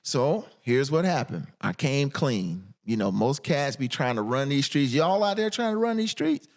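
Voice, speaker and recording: Casual guest storyteller: American man, candid, emphatic, very animated in pitch. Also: moderate pace. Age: middle-aged. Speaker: podcast guest, musician.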